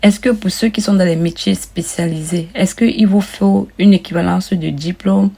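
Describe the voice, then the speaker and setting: A woman with a lowish, gravelly, mellow voice talks viewers through an online form. She repeats herself often and calls her audience friends. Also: steady tone, normal speed. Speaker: immigration advice vlogger. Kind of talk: casual walkthrough of an application form.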